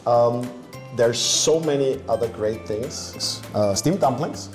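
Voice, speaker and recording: A man from Austria, a coach, answering interview questions in a relaxed, joking way with frequent restarts. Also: medium pitch, slow pace. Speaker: basketball coach. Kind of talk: casual interview. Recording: echoey room with music behind.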